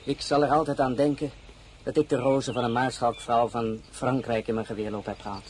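An actor playing an ardent young soldier, eager for glory, in an excitable, declamatory radio drama style. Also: male voice, medium pitch, a little fast, a little hiss or hum, natural voice, rough recording. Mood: proud, earnest. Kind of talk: Dutch radio drama acting.

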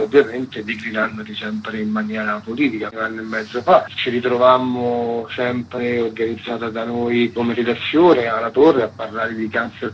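A man's voice: medium pitch, lo-fi sound, slight background noise. A man, a radio editor being interviewed, explaining at length in a conversational, self-correcting way.

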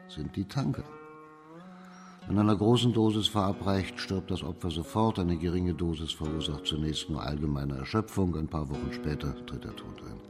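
A shrewd judge of imperial China in a radio crime drama. His low voice is measured, formal and commanding. Music plays faintly behind him. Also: darkish tone.

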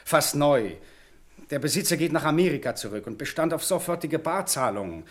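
A man's voice: fairly high pitch, animated, moderate pace, natural voice. A man acting in a German radio play as a touchy, defensive junior bank employee with a self-justifying manner. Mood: sheepish, defensive.

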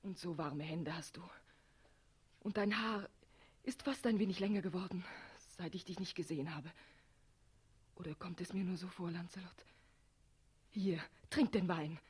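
An actress playing a tender, suffering young maiden, breathy and emotional, in a radio play. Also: female voice, fairly low pitch, normal speed, long pauses, a little mellow. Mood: tender, dazed. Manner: emotional, lyrical stage delivery. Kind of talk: 1960s German radio play acting.

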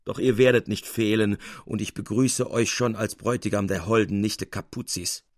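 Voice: medium pitch, steady tone, moderate pace, no room sound, studio quality, natural voice. A man reading the part of a worldly, sceptical friend in an eloquent, emphatic, confiding manner. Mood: teasing, confiding. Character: worldly male painter friend, wry, brooding, sceptical of women.

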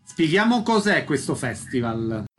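A man's voice: a little high, big pitch swings, a little slow, faint music behind. A man hosting a radio interview, giving introductions and asking questions.

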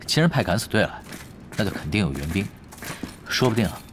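An actor playing a cool-headed veteran gamer who leads his team, calmly explaining tactics in an audio drama. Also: fairly low pitch, fast talker, noisy background. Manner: calm, confident, explains tactics patiently. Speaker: expert player leading a team.

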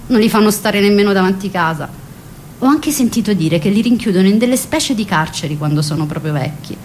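A woman's voice: lowish voice, darkish tone, close to an everyday voice. A woman playing a wistful, passionate young woman in a scripted radio drama, speaking intimately and reflectively. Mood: tender, puzzled. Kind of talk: scripted radio drama monologue and letter reading.

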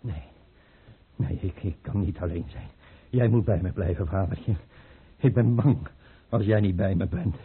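A slow, husky, unstable emperor. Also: male voice, low voice, heavily acted. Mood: frightened, dizzy. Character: fearful, drowsy, unstable tsar clinging to his confidant. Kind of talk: radio drama acting. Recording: muffled, phone-like old radio play recording.

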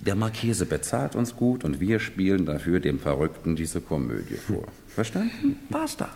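Deep, theatrical, world-weary courtier. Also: male voice, wildly swooping pitch, normal speed, gravelly, mellow, full character voice. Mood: instructing. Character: world-weary, theatrical male hired courtier, explaining wryly. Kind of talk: 1970s German radio play acting. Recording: echoey hall, noisy old radio recording.

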